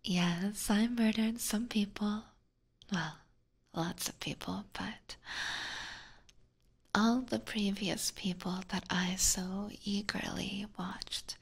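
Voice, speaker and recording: A woman's voice: medium pitch, exaggerated voice. A woman voicing an obsessive, seductive vampire catgirl in an ASMR roleplay, whispering intimately and teasingly into a close binaural microphone with sweet menace. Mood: sweetly threatening. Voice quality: breathy.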